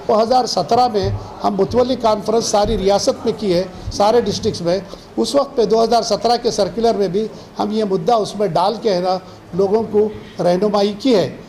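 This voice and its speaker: A man's voice: high-pitched, normal speed, a little mellow. A man giving a formal, explanatory press statement as an official spokesman. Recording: clearly noisy background.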